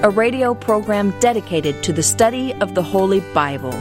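A woman's voice: mid-range voice, lively intonation, normal speed. A woman reading a radio program's introduction in a polished, formal way. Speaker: announcer. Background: guitar music.

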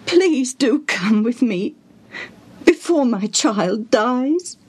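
A woman's voice: on the high side, expressive, very slow, slightly rough, pitched above the performer's own voice, exaggerated voice. Storybook role: anxious royal father, quivering and urgent, slightly raised voice, read by a woman. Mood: pleading. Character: desperate middle-aged father, royal official. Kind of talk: storybook reading.

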